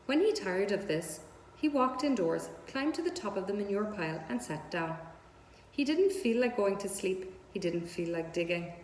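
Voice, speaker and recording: A woman's voice: mid-range voice, very animated, moderate pace, darkish tone. Woman storyteller in an echoing room. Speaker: read-aloud storyteller. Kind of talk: scripted children's book narration. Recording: roomy hall-like echo, audible background noise.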